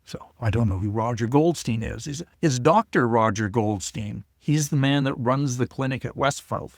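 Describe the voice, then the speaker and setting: An older man speaks at a middle pitch, halting and shy, often restarting his sentences as he tells his story. He sounds close and dry, with no room around him. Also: gravelly, no room sound. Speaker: guest, COPD advocate. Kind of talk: casual interview talk.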